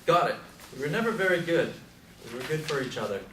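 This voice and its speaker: An actor playing an aging, skeptical Beat theorizer who explains and declaims in a stage play. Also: male voice, fairly high pitch, expressive, quick pace, a bit bright. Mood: musing. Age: older. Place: live stage performance recorded from a distance. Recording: echoey, distant mic, faint noise.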